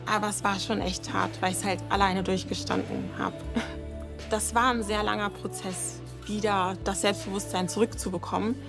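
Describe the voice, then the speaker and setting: A woman's voice: medium pitch, flat delivery, normal speed, vocal fry. A woman of twenty, a model contestant, speaking earnestly and openly about her life in a casting interview. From Germany. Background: music.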